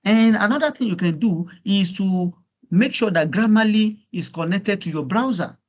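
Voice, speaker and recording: A man with a high voice and a Nigerian accent, a native Yoruba speaker. He coaches in an informal, encouraging way, often repeating himself and putting rhetorical questions to his listeners. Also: moderate pace, dull audio. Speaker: guest speaker coaching students on LinkedIn profiles. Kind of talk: informal online workshop coaching.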